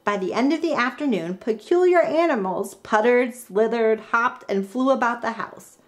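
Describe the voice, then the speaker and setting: A woman reading a children's picture book aloud in an expressive, deliberate way with dramatic pauses. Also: a little high. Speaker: storybook reader.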